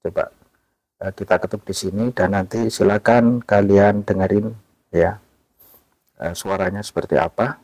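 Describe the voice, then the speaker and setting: A man hosting a casual app tutorial, talking in a relaxed way and tagging "ya" onto most phrases. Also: lowish voice, moderate pace, long pauses, dry recording, poor audio. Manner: relaxed, repeats "ya" and fillers often. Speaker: tutorial host.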